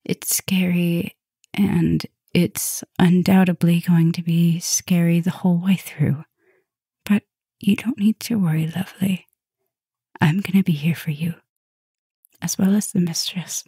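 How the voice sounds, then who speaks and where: A woman playing a tender, gently dominant vampire professor in an intimate ASMR roleplay, speaking softly and soothingly, often slipping toward a whisper. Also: on the low side, fairly even intonation, no room sound, clean studio sound, full character voice. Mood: gently reassuring. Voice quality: soft, breathy, often dropping to a whisper. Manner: slow, soothing, affectionate murmuring with pauses.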